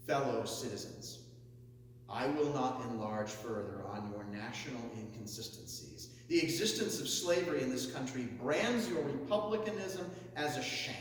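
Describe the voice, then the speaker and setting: A man reading a historic speech aloud as a declamatory oration, building each list to forceful, emphatic peaks. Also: medium pitch. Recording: echoey hall, mic far off, faint hum.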